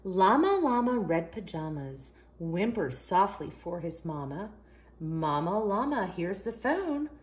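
Female storytime host for young kids, lively swooping delivery at an unhurried pace, sing-song read-aloud. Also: lowish voice, slight background noise. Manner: sing-song, asks the young listeners questions. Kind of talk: expressive read-aloud of a picture book for young children.

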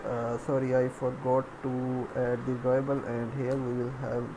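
Male tutorial instructor, halting and monotone, working through steps aloud on a noisy home-made recording. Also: mid-range voice. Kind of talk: step-by-step screen tutorial, thinking aloud.

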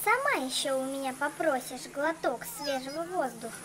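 A grandiose cartoon queen doll acted by a woman, very high in pitch and full of swoops. She sounds theatrical, haughty and greedy. Clear noise runs behind her. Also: animated, normal speed, fairly bright tone. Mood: scheming, haughty. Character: bored, haughty, greedy Egyptian queen-like receptionist girl doll. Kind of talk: children's cartoon dubbing.

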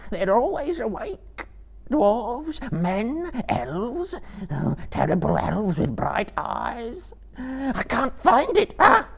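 A man voicing a wretched, pitiful creature that whines, hisses and sobs in a high raspy voice. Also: wildly swooping pitch, takes it slowly, full character voice. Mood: sobbing. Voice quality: high whining, rasping and hissing. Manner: sobbing, whimpering, shrieking in bursts. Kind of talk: audiobook character voice.

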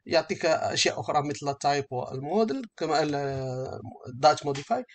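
A man giving a step-by-step software tutorial as an instructor, speaking haltingly and often rephrasing as he explains. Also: fairly high pitch, lively intonation.

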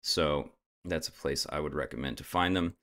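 A young man casually guiding viewers step by step through a software setup, with frequent "uh"s. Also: rumbling low, moderate pace. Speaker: tech tutorial host. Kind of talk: screen-recorded software tutorial.

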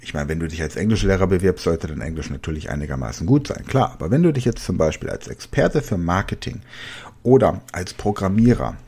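A man's voice: sits low, expressive, a little fast, gravelly, a little mellow, husky. A man in his forties hosting a solo podcast, coaching listeners directly in a conversational way with casual asides. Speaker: podcast host and language trainer.

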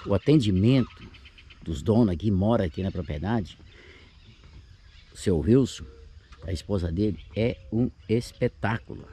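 A man's voice: fairly low pitch, lively intonation, normal speed, pauses often, dark tone, breathy edge. A man in his sixties with a Brazilian accent, narrating a casual camping vlog outdoors. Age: 60s. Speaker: camping vlogger. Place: outdoors on a grassy lakeside campsite.

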